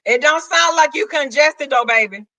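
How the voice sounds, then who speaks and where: A woman's voice: high voice, moderate pace, pushed and bright, no room sound. A woman with a Southern US accent, hosting a live stream with sassy, teasing banter. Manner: sassy, teasing, calls others "girl" and "child".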